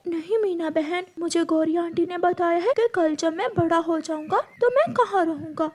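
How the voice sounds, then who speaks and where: A woman's voice: very high-pitched, normal speed, a little mellow, voice pushed up. A woman voicing a naive little boy bird in a cartoon story, with an innocent, earnest way of talking. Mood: hesitant.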